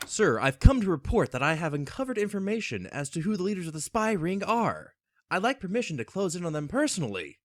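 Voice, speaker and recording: A heroic American army captain, acted by a man in an old radio serial. His voice is on the high side for a man and swings in a lively way, earnest and clipped. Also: brisk, no echo. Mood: earnest, dutiful. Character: brave, dutiful American army intelligence captain. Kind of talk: 1940s-style radio serial acting.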